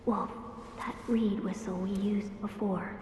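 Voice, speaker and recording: A woman voicing a solemn, resolute young boy of noble blood in a video game, speaking formally and gravely. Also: medium pitch, fairly even intonation, takes it slowly, darkish tone, a little hiss or hum, natural voice. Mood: quiet, resolute. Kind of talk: dramatic video game acting. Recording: echoey hall reverb. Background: music.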